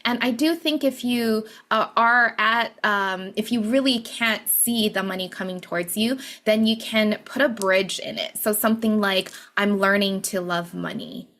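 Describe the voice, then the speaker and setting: A woman's voice: mid-range voice, measured pace, hardly any pauses, a bit bright. Encouraging woman author explaining her ideas. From Hawaii. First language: not English. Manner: encouraging, explains with many "you know" and "right?". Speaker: author, podcast guest. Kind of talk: conversational podcast interview.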